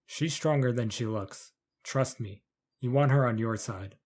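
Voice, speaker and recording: A man playing a terse, protective father who is a tough fighter in an audio fantasy play, with a husky voice. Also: medium pitch, unhurried, a little mellow, lightly acted. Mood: defiant. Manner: terse, firm.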